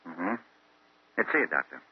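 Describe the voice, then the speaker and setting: An actor playing a brusque, authoritative police inspector in an old radio drama. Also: male voice, low voice, big pitch swings, normal speed, slight background noise. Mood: official, stern. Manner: brusque, official, direct. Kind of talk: 1940s radio acting. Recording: old tinny radio recording.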